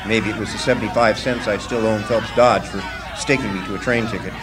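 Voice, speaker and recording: A man's voice: fairly low pitch, steady tone, fairly quick, music in the background, crowd noise, lightly acted. A man playing a plainspoken striking miner who narrates his own story in a radio drama. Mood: wry, matter-of-fact. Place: live stage performance in a hall. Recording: long hall reverb, faint noise.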